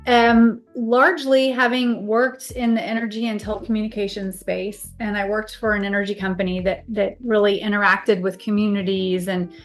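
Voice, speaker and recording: A woman's voice: medium pitch, little pitch movement, moderate pace, hardly any pauses, soft background music. A middle-aged American woman from Kansas talking conversationally about herself as an interview guest. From Kansas, United States. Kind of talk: conversational personal introduction.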